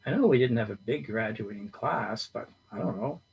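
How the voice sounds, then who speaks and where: A man's voice: mid-range voice, expressive, normal speed, creaky, breathy edge, lo-fi sound, heavily acted. A man reading as a blunt, wisecracking old man in his sixties, a school friend who teases dryly. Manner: dry teasing jokes.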